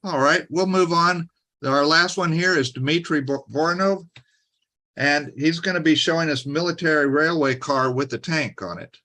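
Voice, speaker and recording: A man hosts an online hobby meetup with a bright, fairly high voice. He encourages each presenter and keeps things moving. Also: normal speed. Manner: encouraging, moves things along. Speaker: meetup host.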